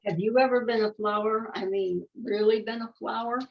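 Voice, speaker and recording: An older woman reading a picture book aloud, unhurried, with casual explanatory asides. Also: fairly high pitch, steady tone, gravelly. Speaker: children's story reader. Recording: dull, muffled lo-fi sound.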